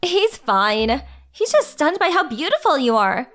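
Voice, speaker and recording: A woman's voice: high-pitched, big pitch swings, measured pace, a bit bright, faint music behind, exaggerated voice. A woman playing a cute, blunt teenage girl with antlers in an anime dub. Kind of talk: anime manga dub acting.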